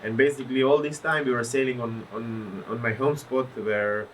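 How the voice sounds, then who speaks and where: A man from Croatia with a Croatian accent, a professional windsurfer telling his story as a podcast guest, animated and searching for words as he goes. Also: mid-range voice, moderate pace, slight background noise, low-quality recording. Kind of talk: casual podcast interview.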